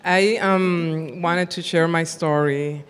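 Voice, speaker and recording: Woman panelist, plain-spoken and wry, flat smooth delivery, reverberant hall. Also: fairly low pitch, slow. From Puerto Rico. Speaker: panelist, candidate for office. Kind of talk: personal testimony on a panel. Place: large hall, panel on stage.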